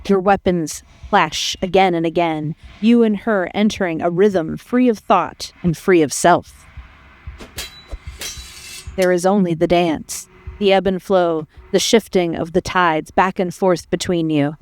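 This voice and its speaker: A woman playing a solemn, poetic storyteller, reading scripted game narration in a measured way. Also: lowish voice, darkish tone, natural voice. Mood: solemn intensity.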